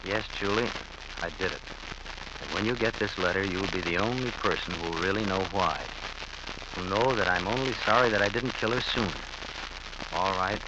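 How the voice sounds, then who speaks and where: An American actor playing a cold, unhinged wife-killer who confesses with jittery menace in a vintage radio thriller. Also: male voice, lowish voice, heavy background noise, natural voice. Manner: menacing, taunting endearments. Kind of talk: 1940s-50s radio thriller acting, first-person narration. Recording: muffled vintage radio recording, loud music behind.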